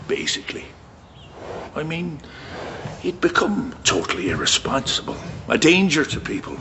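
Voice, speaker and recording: A man's voice: on the high side, very animated, normal speed. An actor with a Scottish accent playing a bitter, wary man in a naturalistic radio drama. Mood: troubled. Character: bitter, wary man discussing his violent war-damaged cousin. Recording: muffled, with clear background noise.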